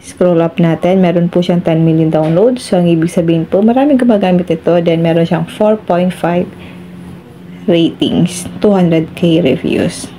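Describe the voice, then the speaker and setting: Low, mellow Filipino woman giving instructions. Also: normal speed, slight background noise, low-quality recording. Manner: instructional, addresses viewers politely. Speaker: tutorial host. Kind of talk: step-by-step phone app tutorial voice-over.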